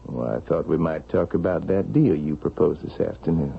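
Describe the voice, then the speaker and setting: An actor playing a scheming, smooth-talking husband in a vintage radio drama, coolly polite and insinuating. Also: male voice, a bass voice, normal speed, heavily acted. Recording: dull, noisy old radio recording.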